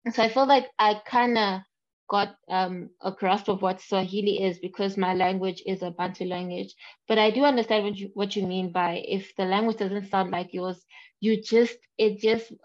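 A woman from Congo in a casual conversation, talking animatedly with many restarts and fillers. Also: mid-range voice. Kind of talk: casual chat. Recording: dull lo-fi sound.

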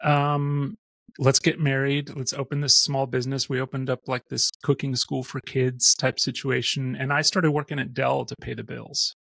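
Gravelly guy in his 40s, guest on a podcast, rambly and jokey, super dry sound. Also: mid-range voice, level tone, moderate pace, fairly bright tone, no echo. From born in Austria, raised in Missouri. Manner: rambling, self-deprecating, laughs often. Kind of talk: casual interview chat.

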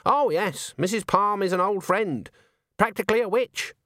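Man voicing an unflappable, raised-pitch old witch. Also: normal speed, no room sound, clean studio sound. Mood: composed. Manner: calm, polite, self-assured. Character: composed, unflappable, imperious old woman witch.

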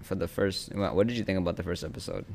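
A young man with a very low voice hosts a laid-back podcast from a friend's apartment living room. He talks loosely, dropping slang and laughing easily. He speaks into a single podcast mic. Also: fairly even intonation, fast talker, gravelly, darkish tone, slight background noise. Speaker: podcast host. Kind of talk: casual podcast chat.

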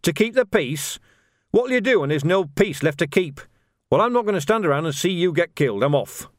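A man as a scornful, defiant copper, pitched up. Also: animated, a little fast, no room sound, clean studio sound, natural voice. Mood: scornful, grim. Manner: blunt, argumentative, colloquial. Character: scornful, hard-headed male city policeman, defiant.

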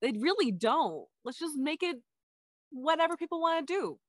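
A stage actor chatting as a podcast guest, lively and laughing often, with the pitch jumping up when excited. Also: female voice, normal speed, no room sound, studio quality. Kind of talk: casual chat.

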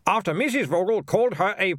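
A man's voice: high-pitched, animated, fast, a bit bright, no room sound, studio quality, voice pushed up. A man voicing a pompous, flustered small-town mayor who blusters in a fussy, long-winded way in a storybook reading. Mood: indignant.

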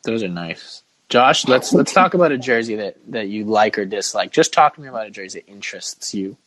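A man's voice: lowish voice, animated, a little fast, a bit bright, no room sound, rough recording. A man hosting a casual sports podcast, giving opinions in a mock-dramatic, self-deprecating way. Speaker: podcast host.

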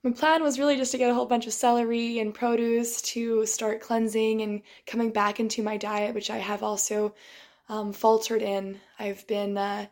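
A young woman with a voice tired and strained from shouting. She talks in a casual, reflective monologue, halting and restarting and often clearing her throat. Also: on the high side, level tone.

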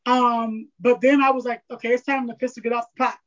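Woman guest, raspy voice pitched high, open and rambling, dull lo-fi sound. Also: flat delivery, a little fast. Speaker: podcast guest. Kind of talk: casual interview chat. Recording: dull lo-fi audio.

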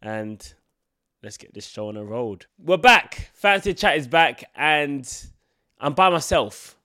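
A man's voice: fairly high pitch, animated, slow, bright, forceful tone. A man in his twenties with a blocked-up nose from a cold, hosting a solo podcast in a casual, rambling way full of filler words. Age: 20s. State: congested from a cold. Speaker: podcast host.

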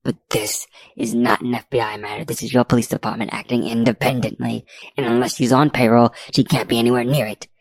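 Woman acting a low, stern, threatening male federal agent. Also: steady tone, brisk, a little mellow, no echo. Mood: grudging. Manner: stern and scolding.

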